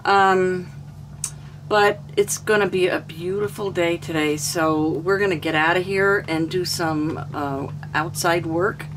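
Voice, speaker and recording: An older woman with a hoarse throat, chatting casually through a cooking vlog in a small camper kitchen. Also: lowish voice, fairly even intonation, unhurried, background noise. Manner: chatty, homey, rambling. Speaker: cooking vlogger.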